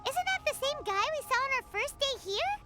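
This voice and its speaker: Squeaky excitable tiny fairy. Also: female voice, brisk, exaggerated voice. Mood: excited, eager. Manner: exclamatory, refers to self in third person. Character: tiny childlike floating fairy companion, excitable and impatient. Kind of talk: anime game voice acting. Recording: music behind the voice.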